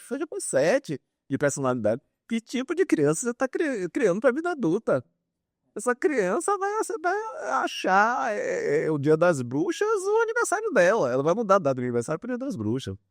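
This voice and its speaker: Man, fifties, animated swooping intonation, close dry sound, opinionated interview talk. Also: sits high, a little fast, no echo. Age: 50s. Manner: opinionated, acts out quoted remarks, repeats words for emphasis. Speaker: guest psychologist and author. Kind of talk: interview talk, explaining with examples.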